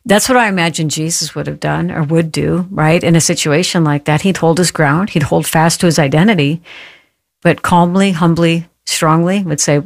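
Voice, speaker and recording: A host with a mid-pitched voice, earnest and encouraging, who asks questions and muses on the guest's answers. The sound is dry and close. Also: steady tone, moderate pace, vocal fry, dry recording. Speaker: podcast host. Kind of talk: podcast interview.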